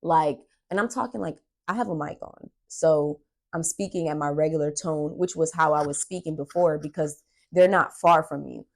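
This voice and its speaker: Young female vlogger, low voice, casual and animated storyteller who leans dramatically on key words. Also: moderate pace, gravelly, slight background noise. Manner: animated, dramatic, acts out dialogue and emphasizes words. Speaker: podcast host and aspiring actress. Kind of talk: casual storytelling vlog chat.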